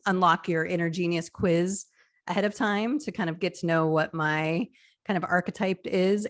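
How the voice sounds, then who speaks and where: A young woman hosting an interview show, chatty and relaxed, with lots of filler words. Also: lowish voice. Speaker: podcast host.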